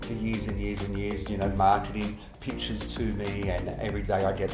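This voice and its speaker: A man's voice: lowish voice, flat delivery, fairly quick. A middle-aged man, a dentist, answering interview questions earnestly and often repeating words as he starts a sentence. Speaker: implant dentist. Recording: echoey room with music behind.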